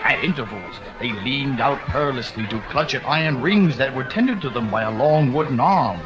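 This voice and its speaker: A man narrating a classic short story with expressive, dramatic storytelling. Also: mid-range voice, moderate pace, highs cut off, music in the background. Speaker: audiobook narrator. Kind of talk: scripted narration.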